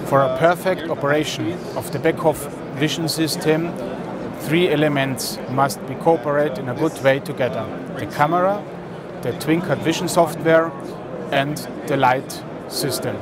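Thirties man, slightly raised pitch, careful stiff English; thick crowd noise behind. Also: normal speed. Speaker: product expert interviewed at trade fair. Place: large indoor trade fair hall. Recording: crowded trade fair hall.

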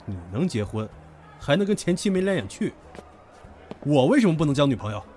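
A man's voice: fairly high pitch, big pitch swings, brisk, mellow, a little hiss or hum. An actor playing a brash, possessive, hot-tempered young man in an audio drama, goading and domineering. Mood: taunting. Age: young adult. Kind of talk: Chinese audio drama acting. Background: background music.

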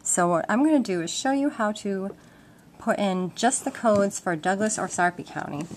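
A woman's voice: mid-range voice, animated, normal speed. A woman giving an informal, step-by-step explanation in a casual demonstration. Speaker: instructor. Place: indoors at a wooden table at home. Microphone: handheld camera microphone. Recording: noisy handheld home-video audio with clicks.

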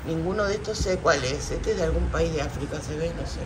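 A woman's voice: low voice, fast. A middle-aged woman chatting casually for her vlog in a small restaurant, guessing aloud and laughing often, filmed on a phone at arm's length. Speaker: vlogger. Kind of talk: casual food vlog chat. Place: small busy restaurant indoors. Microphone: phone held at arm's length. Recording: noisy restaurant background.

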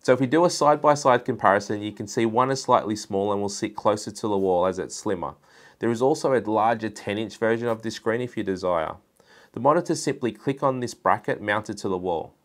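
Low-pitched man presenting tech products. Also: a little fast. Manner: informal, instructional. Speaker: presenter for a networking and security business. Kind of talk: scripted product explainer to camera. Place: indoor studio room at a desk.

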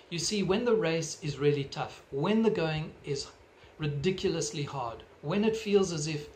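A middle-aged man with a South African accent preaching a sermon from his living room into a webcam, earnest and measured, gesturing as he talks. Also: fairly high pitch, a little hiss or hum, low-quality recording. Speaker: pastor. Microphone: webcam at desk distance.

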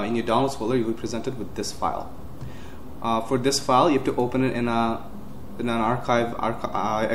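A young man giving a casual step-by-step computer tutorial, with frequent uhs and quick self-corrections. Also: mid-range voice. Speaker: tech tutorial host. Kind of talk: screen-recorded software walkthrough. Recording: roomy with faint hiss.

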